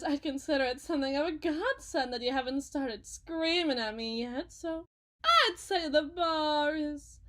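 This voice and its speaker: Lady doing a folksy con artist faith healer, real high voice, slow showy salesy patter, full of herself, audio roleplay. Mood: wounded, condescending. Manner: theatrical, smooth-talking salesperson patter with dramatic pauses. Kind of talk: scripted ASMR roleplay monologue.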